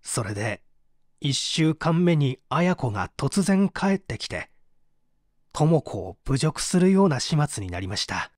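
A man's voice: fairly high pitch, unhurried, no room sound, studio quality. A man reading dramatically as a bitter, despairing painter who gives testimony in a formal, confessional way. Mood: aggrieved, then earnest, troubled. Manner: confessional, expressive, formal narrative delivery.

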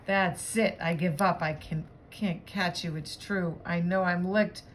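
A woman's voice: on the low side, fairly even intonation, unhurried, background noise, lightly acted. A woman voicing an exasperated woman dog owner who exclaims as she chases her runaway dog in a picture-book read-aloud. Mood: defeated. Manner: exclaiming, crying out.

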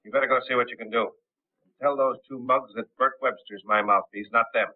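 A man's voice: mid-range voice, steady tone, brisk, natural voice. A man playing a curt gangster boss. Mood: commanding. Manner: curt, bossy, slangy. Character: gruff commanding male gangster boss. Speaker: gang boss Brossett. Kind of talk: 1930s film acting. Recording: tinny old 1930s film soundtrack.

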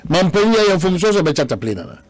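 Ghanaian radio host reining in debate. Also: male voice, high voice, rapid-fire, no room sound. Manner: pushes back, calls for calm. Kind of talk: moderating a radio panel. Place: radio studio. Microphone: studio microphone.

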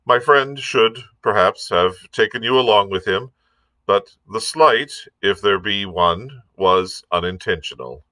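A man reading a storybook, voicing a calm, reasonable gentleman doctor who speaks in a measured, courteous, wry way. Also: on the low side, dry recording. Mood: conciliatory. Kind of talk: audiobook character reading.

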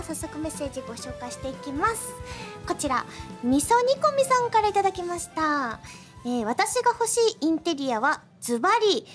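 A woman co-hosting a web radio show, chatting and presenting corners in a giggly, reactive manner. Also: very high voice.

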